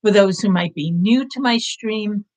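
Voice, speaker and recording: A woman's voice: medium pitch, a little slow, a little mellow. A woman of fifty-nine hosting her own channel, giving a casual live-stream update in an earnest, reflective and encouraging way. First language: English. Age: 50s. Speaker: language-learning channel host. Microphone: live-stream setup.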